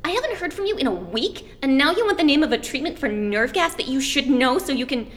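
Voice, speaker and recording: A nerdy girl acted by a woman speaks in a high-pitched voice. She is a bossy sweetheart in a comedy sketch, firm in her delivery. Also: very animated, fairly quick, slight background noise. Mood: exasperated.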